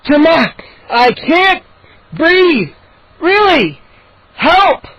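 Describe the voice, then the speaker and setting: A man voicing an excitable, teasing sixth-grade boy in a children's audiobook, given to exclaiming. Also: very high-pitched, measured pace, dull audio, a little hiss or hum, pitched above the performer's own voice, exaggerated voice. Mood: choking, pleading. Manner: yelping, exclaiming.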